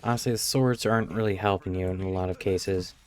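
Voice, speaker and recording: Game streamer, male, lowish voice, casual commentary and read-aloud dialogue over in-game music. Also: steady tone, fast talker.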